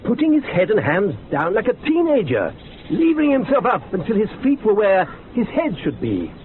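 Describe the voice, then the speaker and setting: Through a thin, phone-like recording with faint noise comes a literary, theatrical man from a radio thriller. His delivery rises and falls dramatically, relishing grim detail with deliberate care. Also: sits high, wildly swooping pitch. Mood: intrigued. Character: eloquent, grimly fascinated man recounting strange deaths.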